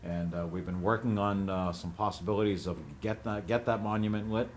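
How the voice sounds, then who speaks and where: A man speaking as a selectman at a town board meeting, halting with many uhs. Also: lowish voice, fairly even intonation, a little hiss or hum. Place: town hall meeting room.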